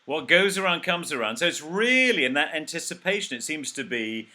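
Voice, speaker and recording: A man's voice: a little high, sing-song, a little fast, pushed and bright. A man hosting an interview over a video call. He sounds enthusiastic and jokes with his guest. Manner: enthusiastic, joking, upbeat. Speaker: host. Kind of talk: remote interview chat.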